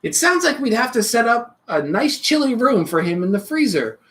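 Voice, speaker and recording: A bedtime-story reader plays a loving mother with an animated, lilting delivery. She is reassuring and playful, spinning imaginative answers as in a picture book. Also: medium pitch, normal speed, a little hiss or hum.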